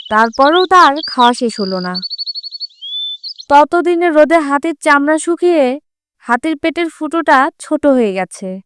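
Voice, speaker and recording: A woman storyteller reads a scripted fable for children. Her voice is high, and the sound is very dry with no room echo.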